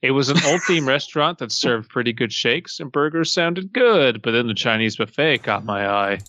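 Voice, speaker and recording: A man's voice: medium pitch, lively intonation, moderate pace, bright, forceful tone, no room sound. A man podcast co-host chatting casually and reading a story aloud, jokey and profane, often breaking off for asides.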